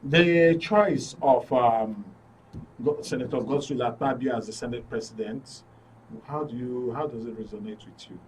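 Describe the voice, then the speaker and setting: A man's voice: medium pitch, moderate pace, distant mic, slight background noise, poor audio. A man with a Nigerian accent hosting a live political discussion, arguing emphatically and repeating words for stress. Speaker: talk show host. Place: radio and TV studio.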